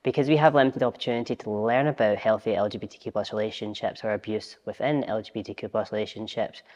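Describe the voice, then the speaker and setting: A man's voice: on the low side, fairly even intonation, fast, darkish tone, in a large hall, dull audio. A young man giving a scripted informational presentation for a training film. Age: young adult.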